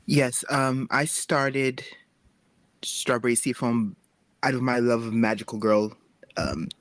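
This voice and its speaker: Reflective man, mid-pitched, dry recording. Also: slow pace, fairly bright tone, dry recording. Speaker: webcomic creator, guest. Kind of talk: casual panel discussion.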